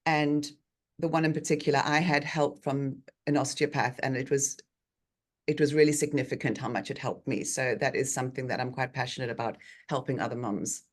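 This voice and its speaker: Low-voiced woman osteopath on a video call. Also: fairly even intonation, fairly quick. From South Africa. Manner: chatty, frequent ums while explaining. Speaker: osteopath guest speaker. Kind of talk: online talk presentation. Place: bright treatment room.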